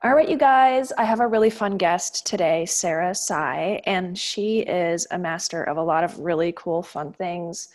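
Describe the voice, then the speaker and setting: A woman's voice: on the low side, measured pace, few pauses, darkish tone. A woman hosting a podcast, opening the show and introducing a guest in an upbeat, friendly way. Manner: upbeat and friendly, speaking straight to listeners. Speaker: podcast host.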